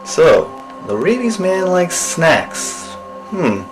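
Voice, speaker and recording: A man's voice: a little high, big pitch swings, very slow, heavily acted, poor audio. Cocky monster hunter played by a man over music. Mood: musing. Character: boy named Tanner, cocky amateur monster hunter. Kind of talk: comic trailer acting. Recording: music behind the voice.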